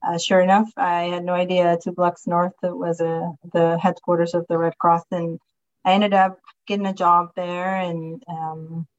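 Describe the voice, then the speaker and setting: Woman, 40s, from Somalia, interview guest; lowish, level voice, earnest and halting; muffled remote-call audio. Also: level tone. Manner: earnest, halting, many ums and uhs. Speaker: guest, county commissioner. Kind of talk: interview storytelling.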